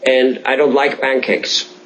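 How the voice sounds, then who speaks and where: A man with an Austrian accent, a native German speaker, interviewing conversationally in a halting, repetitive, emphatic way with rambling examples. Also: mid-range voice, level tone, a bit bright, poor audio. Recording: faint background noise.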